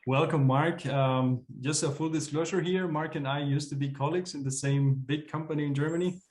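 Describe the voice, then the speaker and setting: A man's voice: on the high side, fairly even intonation, a little fast, a little mellow, roomy sound. A man hosting an online community meeting, welcoming and thanking people in a casual, appreciative, informal way. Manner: appreciative, informal, chuckling often. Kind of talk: casual welcoming moderation of a video call.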